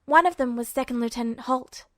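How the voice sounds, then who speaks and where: High-voiced woman narrating a story, dry sound. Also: fairly quick, no room sound. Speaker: audiobook-style narrator of fan fiction.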